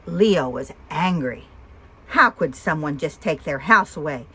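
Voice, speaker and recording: A woman reading a picture book aloud for young children with expression, pausing to ask the listeners simple questions. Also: deep voice, animated, sharp tone, breathy edge. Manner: asks listeners simple questions between story lines. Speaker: storyteller and host of a read-aloud show.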